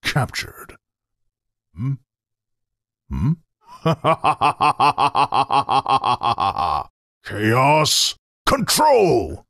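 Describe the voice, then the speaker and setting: An actor voicing a haughty, menacing hedgehog antihero with gravelly, disdainful video game lines. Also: male voice, fairly low pitch, a bit bright, dry recording, clean studio sound, full character voice.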